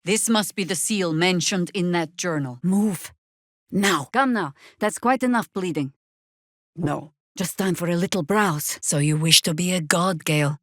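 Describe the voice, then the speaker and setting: An actress playing a weathered older female druid in a video game, wry and commanding, with a dry, deliberate delivery. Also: deep voice, no room sound. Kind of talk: dramatic game dialogue.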